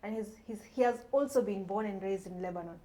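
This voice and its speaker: A woman's voice: medium pitch, quick pace, mellow, echoey room, rough recording. A young woman who grew up in Kenya, co-hosting a podcast, introducing the episode in an earnest way with some fillers. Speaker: podcast co-host.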